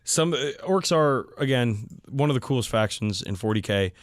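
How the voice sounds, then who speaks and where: A man's voice: medium pitch, lively intonation, moderate pace, dry recording, studio quality. A man hosting a hobby podcast in an informal, enthusiastic, conversational style. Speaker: podcast host.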